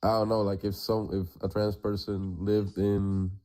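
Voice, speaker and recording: Young man, non-native English, low and flat, hedging. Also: unhurried. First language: not English. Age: 20s. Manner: tentative, hedging. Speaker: debate guest.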